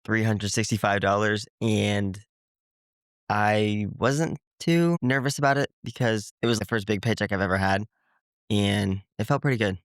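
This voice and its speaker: A man's voice: fairly low pitch, moderate pace, dry recording, clean studio sound. A young man who is a college freshman, answering as a guest in brief, matter-of-fact replies. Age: young adult. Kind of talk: casual interview chat.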